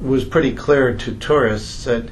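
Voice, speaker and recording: A man's voice: medium pitch, measured pace, a little hiss or hum. A man at a committee meeting telling a story, rambling and searching for words. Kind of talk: casual meeting discussion. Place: meeting room.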